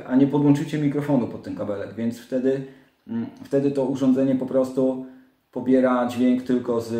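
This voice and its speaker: A man's voice: medium pitch, warm tone. A man giving a casual, hands-on how-to as a moto vlogger, informal and going step by step with small interjections. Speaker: moto vlogger presenting a gear setup guide. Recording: echoey, roomy indoor sound.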